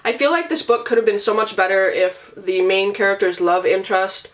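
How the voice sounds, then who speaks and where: A woman's voice: mid-range voice, fairly quick. A woman talking with a stuffy, nasal voice because she is sick. She rambles in a loose, chatty vlog style and swears casually as she goes. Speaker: beauty and book vlogger.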